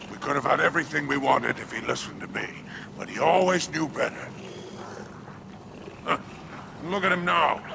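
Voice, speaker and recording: A man playing a sly, scheming alien crime boss in a video game, coy and drawling, with a gravelly, creaky voice. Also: mid-range voice, noisy background. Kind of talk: video game character acting. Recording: music behind the voice.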